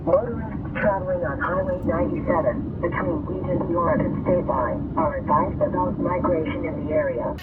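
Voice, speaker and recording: A man's voice: high voice, fairly even intonation, moderate pace, few pauses. A man reading a scripted public advisory as an announcer over the radio. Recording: tinny distant radio broadcast, loud vehicle noise.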